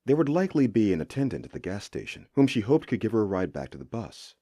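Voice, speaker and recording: A man reading a horror story as a scripted narration, with suspenseful, expressive delivery. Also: lowish voice, quick pace, no echo, clean studio sound.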